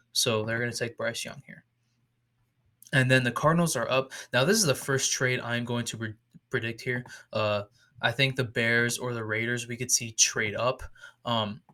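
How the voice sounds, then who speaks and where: A man's voice: medium pitch, sharp tone. A young man hosting a football mock draft video, talking casually with lots of fillers and restarts, his throat sore. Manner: chatty, rambling, frequent fillers and restarts. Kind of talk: casual solo commentary over screen.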